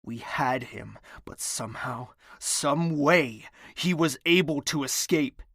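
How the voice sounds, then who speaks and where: An actor playing a bitter, menacing young prince in an audio drama, speaking in a formal, commanding, deliberate way. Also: male voice, medium pitch, a bit bright, slightly rough, no echo, studio quality, full character voice. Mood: frustrated. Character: bitter young male prince, self-declared villain, imperious and menacing.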